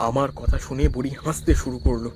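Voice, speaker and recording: A man's voice: mid-range voice, fairly even intonation, fast, natural voice. A man playing a wary, curious young hero who also narrates a horror cartoon dramatically. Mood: suspenseful.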